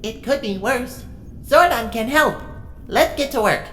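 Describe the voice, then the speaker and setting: Lady doing a worried little robot helper, high voice, bit of noise, audio drama. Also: lively intonation, unhurried. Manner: fretful, repeating exclamations. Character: anxious, fussy robot assistant.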